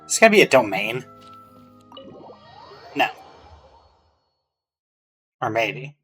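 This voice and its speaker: A man's voice: medium pitch, very animated, slow, fairly bright tone. A man giving casual playthrough commentary, musing to himself and sometimes reading game text aloud. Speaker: gaming reaction streamer. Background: game music.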